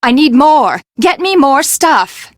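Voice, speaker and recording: An actress playing an imperious, eccentric fashion designer in a video game, with a haughty, dramatic, emphatic delivery. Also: female voice, high voice, big pitch swings, slow, no echo, clean studio sound, exaggerated voice. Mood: demanding, impatient.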